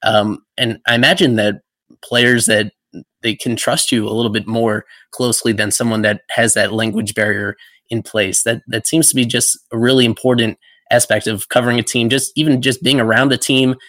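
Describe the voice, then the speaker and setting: A man hosting a podcast, asking long interview questions in a halting way with frequent ums. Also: mid-range voice, little pitch movement, fairly bright tone, no room sound. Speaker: podcast host.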